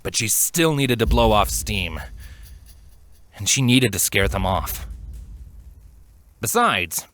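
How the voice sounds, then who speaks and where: A man's voice: fairly low pitch, big pitch swings, slow pace, pauses often, fairly bright tone. A man reading a fantasy story aloud as a dramatic scripted narration. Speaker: fan fiction narrator.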